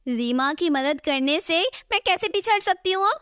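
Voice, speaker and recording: महिला की आवाज़ में ऊँचे सुर की तुनकमिज़ाज बहू. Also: female voice, very high voice, animated, normal speed, a bit bright, dry recording, clean studio sound. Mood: protective. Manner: indignant, dramatic. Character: bossy, quick-tempered young daughters-in-law of the household. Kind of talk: Hindi animated moral story voice acting.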